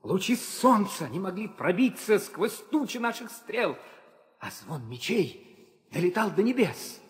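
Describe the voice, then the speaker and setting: An actor playing a noble, devoted young warrior prince, declaiming fervently in an old theatrical audio drama. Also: male voice, sits high, big pitch swings, unhurried. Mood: proud, fervent. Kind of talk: 1960s Soviet theatrical audio drama acting. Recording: old reverberant studio recording with music.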